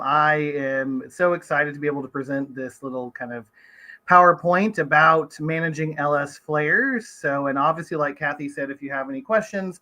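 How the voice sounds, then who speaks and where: Hoarse man giving a casual talk. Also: fairly high pitch, pushed and bright. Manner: conversational, with frequent fillers. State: hoarse from a cold. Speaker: doctor presenting to a patient group. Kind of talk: online educational presentation. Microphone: video call.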